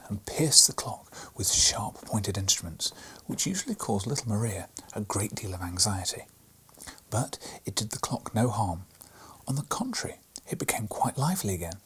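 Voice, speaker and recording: A man reading a bedtime storybook aloud in a soft, hushed delivery with a breathy voice. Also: lowish voice, rough recording. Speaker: storybook reader.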